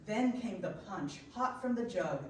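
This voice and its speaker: A woman's voice: medium pitch, animated, a little slow. A woman giving scripted storybook narration in a readers theater performance. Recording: very echoey room, distant mic, faint noise.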